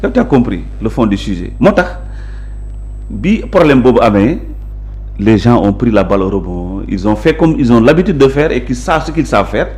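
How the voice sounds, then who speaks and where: A man's voice: mid-range voice, sing-song, brisk, a little mellow. A man with a Senegalese accent, a guest in a conversational interview, explaining his positions in an assertive, emphatic way. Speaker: interview guest, local politician. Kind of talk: conversational interview, mixing Wolof and French.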